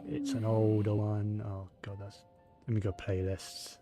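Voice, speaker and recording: A man streams a game in a low, dark-toned voice. He talks casually, half to himself, working things out aloud. Faint music sits behind him. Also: wildly swooping pitch, measured pace. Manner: muttering to himself, thinking aloud. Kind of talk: casual live gameplay commentary.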